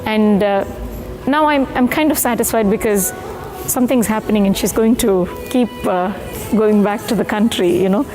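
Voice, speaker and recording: A woman's voice: medium pitch, normal speed. A middle-aged woman, a singer's mother, speaking reflectively with small hesitations in a documentary interview. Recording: music bed under the voice.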